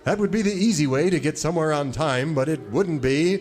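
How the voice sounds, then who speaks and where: An actor playing an upright, courteous singing cowboy leader in a radio serial parody, earnest and wholesome. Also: male voice, on the high side, fairly quick, a little mellow, lightly acted. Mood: earnest, polite.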